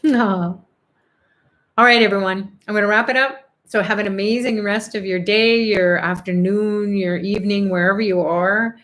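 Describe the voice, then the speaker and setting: A woman's voice: mid-range voice, fairly even intonation, normal speed. A middle-aged woman hosting an online chanting and prayer call, chatting casually, reading out comments and laughing often. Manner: upbeat, warm-hearted, laughs often, many fillers. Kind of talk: casual spiritual group chat, reading comments.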